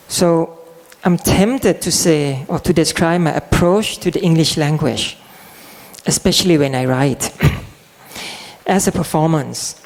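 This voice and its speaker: Gravelly Thai man giving a lecture. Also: a little high, a little mellow. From Thailand. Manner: halting, restarts and repeats words, clears throat often. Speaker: guest lecturer and translator. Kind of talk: public lecture.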